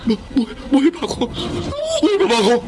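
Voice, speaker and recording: A cowardly radio drama villain. His stammering, panicky voice is high and swings wildly, with clear noise behind it. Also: huge pitch swings, moderate pace, full character voice. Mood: terrified begging. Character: cowardly abusive man begging for his life. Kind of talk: Tagalog radio drama acting.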